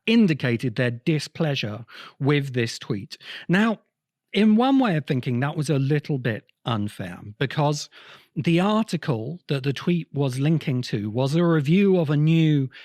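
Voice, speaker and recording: A man hosting a podcast, explaining his argument in a deliberate, emphatic way with pauses. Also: mid-range voice, lively intonation, a little mellow, no room sound, clean studio sound. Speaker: podcast host. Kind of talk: explanatory podcast monologue, essay-like commentary.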